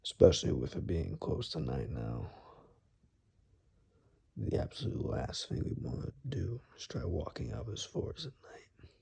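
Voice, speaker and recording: Male performer as cautious forest-dwelling rescuer, ASMR roleplay, dark mellow tone, heavy vocal fry, soft whispery husky delivery, plain-spoken, protective. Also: low-pitched, huge pitch swings, normal speed, exaggerated voice. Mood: cautious, warning.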